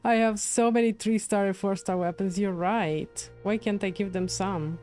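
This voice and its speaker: A woman comments casually while livestreaming a game. The voice is medium in pitch, with a musing, empathetic way of talking. Game music plays softly behind. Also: steady tone, moderate pace.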